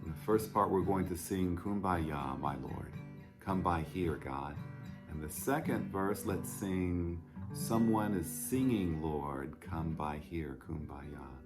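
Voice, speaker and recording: Male storytime pastor, lowish pitch, very slow delivery, simple questioning phrasing for small children. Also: over music. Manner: simple, unhurried phrasing with questions to young listeners. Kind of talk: gentle storytime talk and picture-book reading for children.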